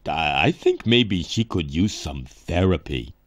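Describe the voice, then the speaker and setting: A big, dim, kind-hearted henchman for a game cutscene. He talks slowly in a low voice that swings about as he hesitates politely. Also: animated. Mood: timid, earnest. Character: slow-witted, gentle, hulking male henchman. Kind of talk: video game cutscene acting.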